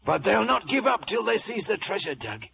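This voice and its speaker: An actor playing a wily old pirate ship's cook with a drawling, rustic West Country brogue, in a theatrical film style. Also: male voice, high-pitched, very animated, normal speed, gravelly, bright, forceful tone, heavily acted. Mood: cajoling. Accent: West Country English pirate brogue. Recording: noisy old film soundtrack.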